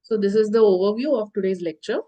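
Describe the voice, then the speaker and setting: A woman giving an online insurance lecture, sounding nasal from a cold, explaining steadily with filler pauses. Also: mid-range voice, mellow. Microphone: video call.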